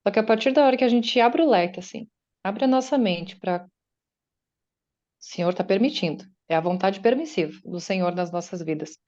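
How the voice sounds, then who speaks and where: Mellow-voiced woman on a call. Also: medium pitch, expressive, fairly quick, muffled recording. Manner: conversational, acts out listeners' doubts in quotes. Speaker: guest speaker and writer. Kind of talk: faith-based motivational talk. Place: online meeting. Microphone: video call.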